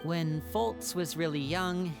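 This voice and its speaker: A woman voicing a calm, capable young guide in a video game, explaining things clearly. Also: on the low side, slow, music in the background. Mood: composed.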